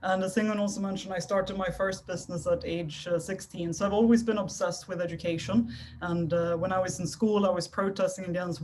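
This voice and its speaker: A woman's voice: fairly low pitch, a little mellow, slight background noise. A young woman from Sweden giving a friendly, chatty talk to a club meeting over a video call. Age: young adult. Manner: friendly, chatty, lightly self-deprecating. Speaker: guest speaker. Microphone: video call microphone.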